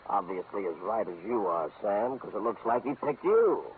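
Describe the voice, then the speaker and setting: A man's voice: fairly low pitch, very animated, normal speed. A man playing a fat, boozy private detective in a 1940s radio drama, slangy, rambling and amused. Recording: tinny lo-fi old radio recording with noise.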